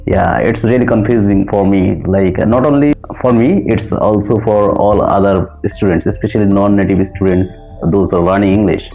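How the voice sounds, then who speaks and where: Indian man, English learner, low, mellow voice, polite and hesitant, muffled call audio, faint music. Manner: polite, hesitant, many "uh" pauses, calls the tutor ma'am. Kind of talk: casual lesson chat. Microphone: video call.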